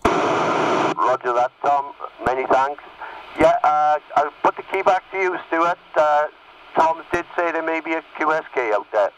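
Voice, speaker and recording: A man's voice: on the high side, measured pace, a bit bright. A man chatting casually over CB radio in operator's jargon. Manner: uses radio jargon and call-back phrases. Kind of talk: casual radio net chat. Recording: distant, noisy CB radio transmission.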